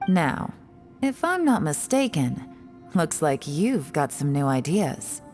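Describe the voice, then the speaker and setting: A woman's voice: low voice, very animated, takes it slowly, a little mellow, soft background music, exaggerated voice. A woman playing a confident, shrewd, cool-headed female agent in a video game, speaking with self-assurance. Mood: assured.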